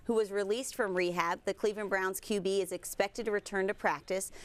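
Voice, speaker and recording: A woman reading scripted sports news as co-anchor of a television show in a studio. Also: mid-range voice.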